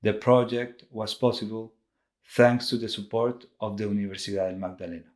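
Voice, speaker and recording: Low-pitched Colombian man, formal narration. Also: level tone, fairly quick, a little mellow. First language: Spanish. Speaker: visual artist. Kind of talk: scripted narration presenting art projects.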